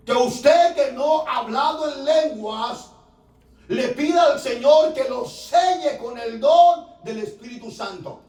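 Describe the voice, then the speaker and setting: A man, a pastor, preaching a fervent sermon that rises to shouts, recorded in a church from off the mic. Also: very high-pitched, recorded from a distance. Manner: fervent preaching, rising to shouts, filler "hermano". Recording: echoey church room, voice from off-mic.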